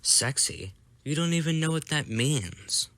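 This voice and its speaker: A man's voice: fairly high pitch, lively intonation, a little slow, fairly bright tone, dry recording, clean studio sound. A man playing a plucky, blunt young boy in a motion comic drama, childish and matter-of-fact. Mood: curious, teasing.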